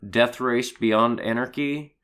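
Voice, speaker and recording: A man with a hoarse voice from a cold, co-hosting a casual podcast chat and laughing as he talks. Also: mid-range voice, slow. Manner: animated, laughing, swearing. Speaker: podcast co-host.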